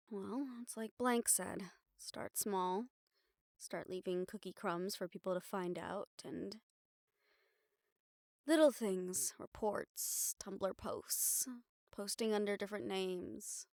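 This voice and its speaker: A woman's voice: medium pitch, slow, vocal fry, darkish tone, no room sound, studio quality. A woman acting a weary, sardonic, stubborn young newspaper reporter in an intimate audio roleplay, talking in a casual, wry, sweary way. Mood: determined, scornful.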